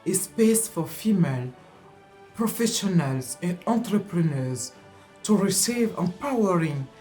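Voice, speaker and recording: A woman's voice: on the low side, animated, a little slow, mellow, soft background music. A woman from France, a leadership coach, introducing herself with formal, careful phrasing. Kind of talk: recorded self-introduction.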